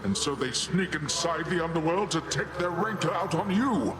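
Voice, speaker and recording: An actor playing a boisterous, pompous middle-aged sea god in a video game, with grandiose, theatrical delivery. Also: male voice, on the high side, expressive, moderate pace, close to an everyday voice. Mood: grand, boastful. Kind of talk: scripted video game character acting. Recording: voice under very loud noise.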